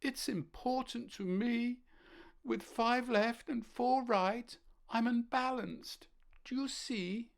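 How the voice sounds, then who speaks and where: A woman's voice: a little high, slow, gravelly. A woman voicing a timid, anxious young female ladybird in a storybook, earnest and close to tears. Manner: sobbing, earnest, self-conscious. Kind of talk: storybook character voice.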